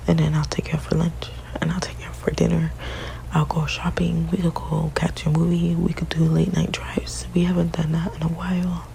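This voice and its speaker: A woman whispering softly and haltingly in an ASMR partner roleplay, often restarting her sentences. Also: low voice, vocal fry, slight background noise, low-quality recording.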